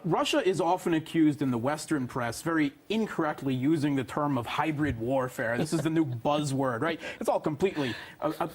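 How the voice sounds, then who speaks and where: A male panel guest with a slightly high, husky voice. He explains his political views at length, often halting as he builds a sentence. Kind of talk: political commentary in discussion.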